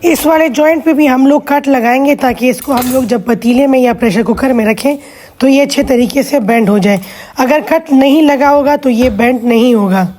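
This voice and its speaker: A woman hosting a cooking vlog with a hoarse voice, explaining each step patiently with chatty asides. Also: high-pitched, normal speed, dark tone, slight background noise. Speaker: cooking channel host.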